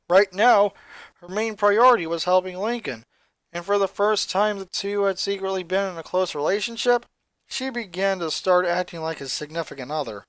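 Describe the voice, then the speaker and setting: Male narrator, high-pitched, bright, expressive scripted reading, dry sound. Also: no echo. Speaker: fan fiction reader.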